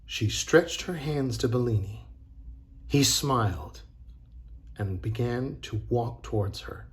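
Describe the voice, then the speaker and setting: A man reading a storybook aloud for children as a teacher, dramatic and deliberate. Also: mid-range voice, expressive.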